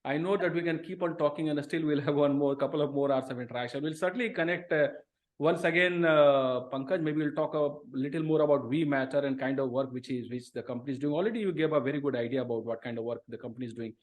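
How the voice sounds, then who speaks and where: Fast, effusive Indian host. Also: male voice, fairly high pitch. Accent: Indian English. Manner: effusive, lavish with praise. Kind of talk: interview over video call. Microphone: video call.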